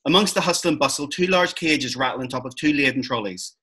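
A man with a husky voice reading stage directions as a narrator over a video call. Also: medium pitch, quick pace, bright, forceful tone.

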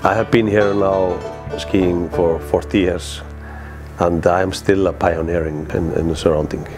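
Low-voiced Icelandic man, halting and plain-spoken. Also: steady tone. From East Fjords, Iceland. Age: middle-aged. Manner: halting, plain-spoken, simple non-native English. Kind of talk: documentary interview, reminiscing. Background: guitar music.

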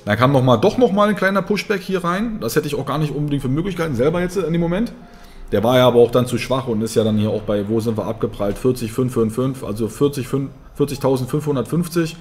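A man in his thirties talks through price charts at a quick clip, voice sitting at an ordinary middle pitch. He thinks aloud, full of fillers and tag questions. Faint music hums underneath the room sound. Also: expressive. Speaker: co-host of a crypto trading show. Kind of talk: casual market analysis chat. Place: indoor room, seated at a table.

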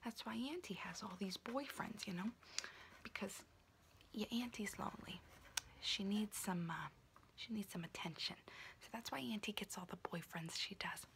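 A woman's voice: mid-range voice, moderate pace, gravelly, background noise, natural voice. A woman voicing an unhinged, conspiracy-minded auntie doing someone's nails, whispering throughout in an ASMR roleplay and rambling with pet names.

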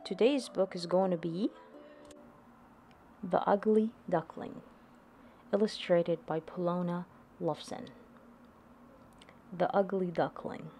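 Slow-reading woman, rather low voice. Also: fairly even intonation, dark tone, faint music behind. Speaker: host reading picture books to children. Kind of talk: read-aloud storybook narration.

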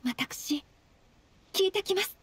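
A woman voicing a polite, earnest, slightly shy young noblewoman in a Japanese anime game, speaking gently and formally. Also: very high-pitched, animated, takes it slowly, heavily acted. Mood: grateful, earnest. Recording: soft game music behind.

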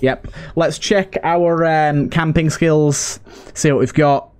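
A man's voice: on the high side, fairly even intonation, takes it slowly, darkish tone, clean tone. A man giving casual let's play commentary, pleading with and exclaiming at the game characters as he talks. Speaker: gaming video host and player.

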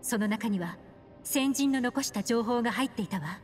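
A woman's voice: fairly high pitch, natural voice. Actress as a cool woman adventurer in long reverb. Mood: composed. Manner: poised, measured, feminine phrasing. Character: cool, composed, mysterious adult woman adventurer. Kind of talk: Japanese anime-style game voice acting. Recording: long reverb, music underneath.

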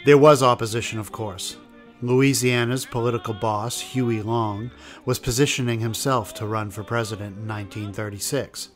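A man delivers scripted historical narration in a mid-pitched voice. Faint music plays behind him as he sets out the history. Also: steady tone, normal speed. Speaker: documentary narrator.

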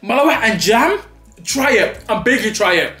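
A man's voice: high voice, expressive, normal speed, sharp tone, reverberant, faint music behind. A young man, chatting dramatically and playfully in a food vlog while eating at a table. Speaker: vlogger. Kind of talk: mukbang vlog chat. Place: small indoor studio set at a table.

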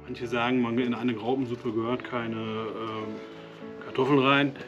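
A man's voice: medium pitch, flat delivery, measured pace, dull audio. A man from Westphalia with a Westphalian German accent, casually explaining an outdoor cooking demonstration with fillers and small self-corrections. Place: outdoors at a camp stove. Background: music and cooking sizzle.

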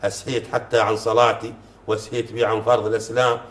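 A middle-aged man, a folk poet chanting verses in measured recitation during a casual sit-down talk in a living room, on a lapel mic. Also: fairly low pitch, flat delivery, a bit bright. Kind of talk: reciting folk poetry in conversation. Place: living room sofa, indoors. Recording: roomy echo.

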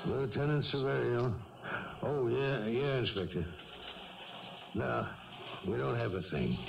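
An American actor playing a weary, matter-of-fact police lieutenant in a 1970s radio drama, clipped and businesslike. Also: male voice, mid-range voice, expressive, a little slow, vocal fry. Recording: dull, noisy 1970s radio recording.

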